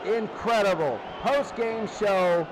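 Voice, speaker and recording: A man's voice: sits high, slow pace, crowd noise, background noise. A man hosting a sports post-game show, recapping games in an emphatic way and repeating his phrases.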